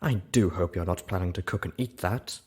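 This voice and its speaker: A man's voice: sits low, fairly quick, darkish tone, husky. Man voicing a prim, refined lady unicorn. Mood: disapproving. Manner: prim, polite, chiding. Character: refined, disapproving, silky-voiced lady unicorn.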